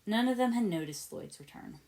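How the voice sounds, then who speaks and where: A woman's voice: mid-range voice, expressive, a little fast, gravelly, a little mellow, slight background noise. A woman narrating fiction as an amateur audiobook reader, with expression in the scripted prose.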